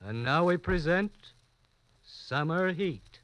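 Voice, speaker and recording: A man hosting and narrating an old radio mystery show in a measured, formal manner. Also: on the high side, lively intonation, a bit bright, soft background music, poor audio. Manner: measured, formal storytelling delivery. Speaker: host and narrator. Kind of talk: 1940s radio drama narration. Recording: old 1940s radio broadcast.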